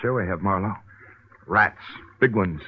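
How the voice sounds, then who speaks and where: A man's voice: deep voice, a little slow, breathy edge. An American man playing a bitter, vengeful ex-convict, terse and menacing, in a 1940s radio crime drama. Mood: bitter, brooding. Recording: dull, noisy old radio recording.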